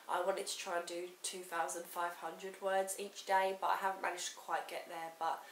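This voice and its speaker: Woman, croaky with a cold, casual rambling vlogger; roomy, off-mic sound. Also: on the low side, level tone, normal speed, distant mic. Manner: rambling, talks straight to the camera. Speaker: writing vlogger. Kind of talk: casual chatty vlog update. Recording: roomy echo, off-mic, faint hiss.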